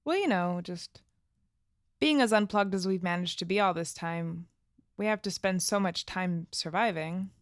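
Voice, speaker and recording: A woman's voice: on the low side, normal speed, no room sound, clean studio sound, close to an everyday voice. A woman playing a wistful, uneasy young woman who lives off-grid, speaking tentatively and thoughtfully in an audio drama. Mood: wistful, anxious. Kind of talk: naturalistic audio drama dialogue.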